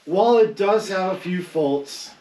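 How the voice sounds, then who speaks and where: A man's voice: high-pitched, lively intonation, measured pace, a crowd in the background. A man chatting casually about films as a panelist on a podcast. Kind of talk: casual film discussion. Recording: roomy echo, distant mic.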